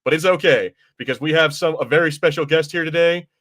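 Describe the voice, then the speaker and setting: A man's voice: on the high side, brisk, a bit bright. A man hosting a podcast in casual chat, talking in an informal, rambling, self-correcting way. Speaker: podcast host.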